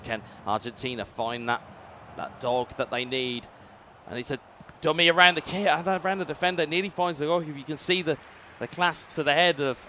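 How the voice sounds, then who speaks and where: A male esports commentator giving play-by-play commentary on a football video game, building up and booming on big chances. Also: pushed and bright, a little hiss or hum. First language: English.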